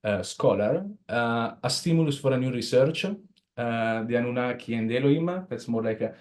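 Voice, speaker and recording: A man's voice: medium pitch, moderate pace. A man from Italy with an Italian accent, giving an informal lecture to a study group in a bookshop room, hesitant and full of filler sounds. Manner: hesitant, many filler sounds, non-native phrasing. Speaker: presenter.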